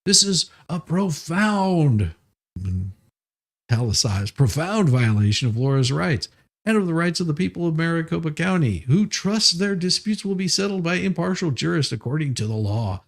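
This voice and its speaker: A man's voice: a little high, animated, normal speed, vocal fry, pitched above the performer's own voice. A man voicing a pompous, indignant lawyer who declaims a legal filing in a theatrical, overblown way. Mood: pompous indignation.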